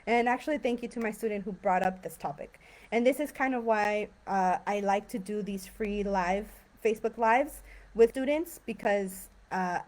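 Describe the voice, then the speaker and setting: A young woman from California teaching English, talking casually on a live stream with many fillers. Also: on the high side. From San Diego and Tijuana. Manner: chatty, relaxed, many fillers.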